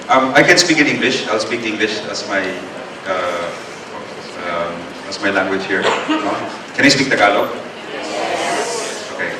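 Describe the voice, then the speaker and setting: A man's voice: fairly low pitch, lively intonation, unhurried, long pauses. A man with a Filipino accent giving a seminar talk to students, chatty, with many fillers and tag questions. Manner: code-switching, tag questions, filler-heavy. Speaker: guest speaker. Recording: echoey hall, distant mic, noisy.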